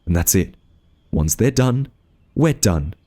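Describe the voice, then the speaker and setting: Guardian angel for ASMR audio drama, male actor: low male voice, wildly swinging intonation, fussy, rule-bound, protective, theatrically huffy. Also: expressive, slow pace, warm tone. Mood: grudging, prim. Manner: scolding, flustered, huffy, theatrical. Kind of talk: ASMR roleplay audio drama addressed to the listener.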